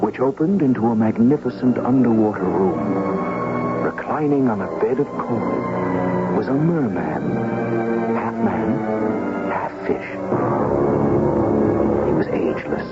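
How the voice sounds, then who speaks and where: A man's voice: on the low side, animated, slow, pauses often. An actor playing a lonely young diver in love, telling his story in a grave, dramatic radio drama style. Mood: grave, reflective. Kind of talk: first-person radio drama narration and acting. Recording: muffled 1970s radio recording, music bed.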